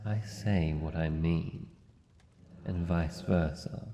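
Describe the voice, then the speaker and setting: An Australian man playing a wary, lonely living shadow monster in a fantasy ASMR roleplay, speaking in a formal, halting, guarded way. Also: sits low, fairly even intonation, vocal fry, warm tone, full character voice. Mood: guarded, softening. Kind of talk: fantasy ASMR roleplay, slow deliberate delivery. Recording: muffled, long cavernous reverb, faint noise.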